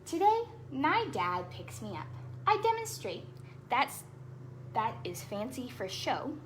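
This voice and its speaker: Woman with lively, high-pitched storytime delivery. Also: takes it slowly, slight background noise. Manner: expressive, explaining words simply to young children. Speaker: ballet dancer hosting children's storytime. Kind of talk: storytime read-aloud with chatty asides for children.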